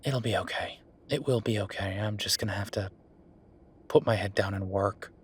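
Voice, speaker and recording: A man playing a weary, wry younger werewolf boyfriend working late, speaking haltingly in an intimate roleplay audio drama. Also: slight background noise, lightly acted. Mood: reassuring, resigned. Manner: low-key, sardonic, halting, sighing.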